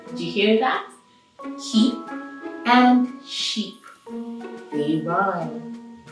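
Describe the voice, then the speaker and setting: A woman reading a story aloud to children as host, expressive and enthusiastic, with chatty asides and drawn-out emphasis. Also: mid-range voice. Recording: very echoey room, distant mic, music behind.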